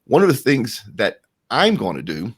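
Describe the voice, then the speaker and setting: A man's voice: mid-range voice, wildly swooping pitch, normal speed, breathy edge. A man co-hosting and organizing a live online event in a casual way.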